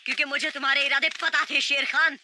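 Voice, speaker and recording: A woman's voice: high-pitched, little pitch movement, quick pace, pushed and bright, a little hiss or hum. A woman voicing a brave, suspicious boy raised by wolves in a cartoon, earnest and accusing. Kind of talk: cartoon voice acting.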